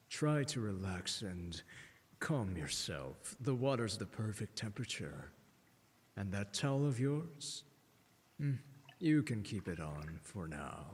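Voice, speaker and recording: A man playing a haughty, condescending, seductive scholar in an ASMR audio roleplay, speaking in a pompous, teasing drawl with deliberate emphasis. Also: lowish voice, breathy edge, full character voice. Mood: welcoming, coaxing. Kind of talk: ASMR roleplay, scripted lecture to the listener. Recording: long hall-like reverb.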